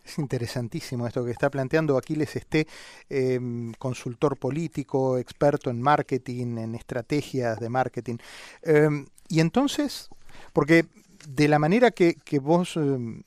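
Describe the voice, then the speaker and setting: A man's voice: medium pitch, unhurried, a little mellow, no echo, studio quality. A man hosting a radio interview, musing his way through long winding questions full of hesitations. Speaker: radio host. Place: radio studio.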